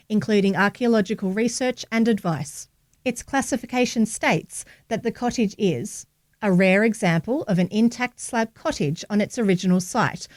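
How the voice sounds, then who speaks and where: A woman's voice: mid-range voice, darkish tone, no room sound. Woman reading a news article, dry sound. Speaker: reader of a local newspaper article. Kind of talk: scripted narration, read aloud.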